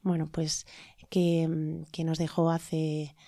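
A woman's voice: low-pitched, completely flat delivery, takes it slowly, warm tone, no echo, clean studio sound. A middle-aged woman with a Spanish accent from Spain, speaking as an interview guest in a reflective, hesitant way with many fillers and tag questions.

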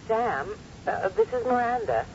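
A woman's voice: a little high, very animated, a little slow. An actress playing a nervous, evasive wife in an old-time radio drama, hesitant and stammering as she covers up. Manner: hesitant, stammering, falsely light. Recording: muffled, noisy old radio recording.

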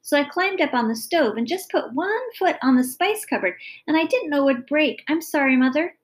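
A woman's voice: high voice, animated, fairly quick, pitched above the performer's own voice. A woman voicing a careless, apologetic young girl in a story, speaking in an earnest, gushing way. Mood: explaining, earnest.

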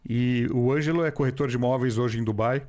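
Fast-talking male podcast host. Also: mid-range voice, darkish tone. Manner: brisk, prompting questions and plugging the show. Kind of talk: interviewing, casual chat.

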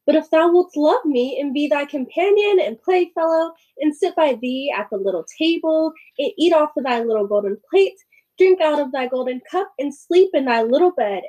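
Woman doing a high, mellow fairy-tale frog. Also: poor audio. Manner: creepy, insistent. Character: odd, bargaining talking frog from a fairy tale. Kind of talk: storybook read-aloud with old-fashioned dialogue.

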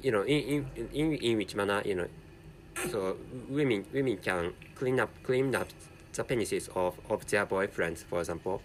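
A man whose first language is Japanese, speaking English with a Japanese accent in a halting solo commentary, repeating words and correcting himself. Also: fairly low pitch, slight background noise, poor audio. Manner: halting, with heavy repetition and self-correction. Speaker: podcast host.

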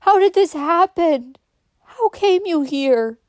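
A woman's voice: a little high, brisk, a little mellow, no echo, studio quality, lightly acted. A woman voicing a sweet, tender-hearted young woman in a storybook, earnest and emotional. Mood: shocked, distraught.

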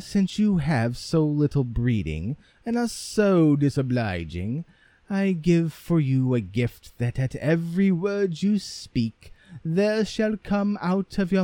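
A calm, stern fairy disguised as a princess, voiced by a man reading the story. Her voice sits a little above his own and stays steady and slow. The tone is mellow and dry. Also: fairly high pitch, dry recording, pitched above the performer's own voice. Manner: composed, stern.

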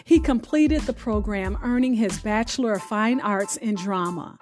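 A woman radio host reading a scripted show introduction with deliberate, announcer-like phrasing. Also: mid-range voice, a little mellow. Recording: music bed behind the voice. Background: music.

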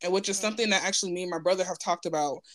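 A woman's voice: lowish voice, rapid-fire, fairly bright tone. A woman speaking informally and candidly in a personal conversation, often checking in for agreement as she goes.

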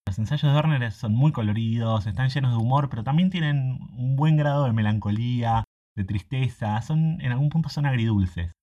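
A man's voice: mid-range voice, moderate pace, warm tone. Man, slightly dull lo-fi recording. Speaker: literary translator, guest. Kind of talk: prepared monologue presenting a book.

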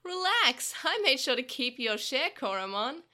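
A bold young boy leader from a storybook, read by a woman. He is confident and teasing, brisk and a little bossy. His voice is bright and lively. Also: sits high, heavily acted. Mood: wry.

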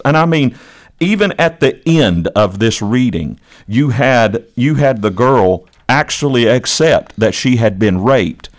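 A man hosting an impassioned political talk, speaking in a preachy, emphatic way and repeating phrases for effect. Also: medium pitch. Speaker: podcast host and longtime educator.

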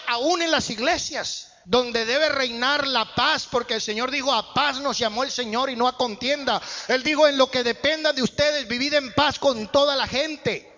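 Man preaching, really high bright voice, big echoey hall, bit muffled. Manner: emphatic preaching with rising bursts. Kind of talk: sermon. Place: church hall. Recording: muffled church hall echo.

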